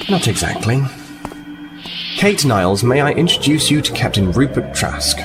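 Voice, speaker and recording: An actor playing a seasoned Starfleet officer in an audio drama, composed and matter-of-fact. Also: male voice, medium pitch, big pitch swings, normal speed, over music. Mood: firm, diplomatic.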